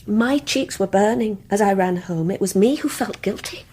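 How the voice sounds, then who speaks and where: An actress playing an anxious, guilt-ridden younger British woman in a radio play, confiding in a hushed, hesitant, breathy voice. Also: medium pitch, normal speed, a little hiss or hum, lightly acted. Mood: bitter, disgusted. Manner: tense, hesitant, confessional. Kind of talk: radio play acting with intimate first-person narration.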